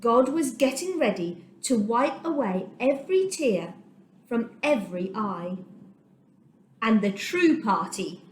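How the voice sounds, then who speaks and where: Expressive woman storyteller, unhurried, lively pitch. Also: a little hiss or hum. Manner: dramatic, deliberate phrasing with emphasis. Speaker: children's storyteller. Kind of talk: expressive read-aloud of a children's Bible story. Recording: echoey room.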